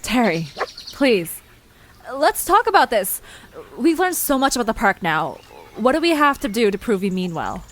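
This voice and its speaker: Woman acting an earnest, high-voiced group leader. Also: sing-song, moderate pace, slight background noise. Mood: earnest, pleading. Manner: earnest, casual. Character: earnest, determined young woman leading her friends. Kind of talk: audio drama acting.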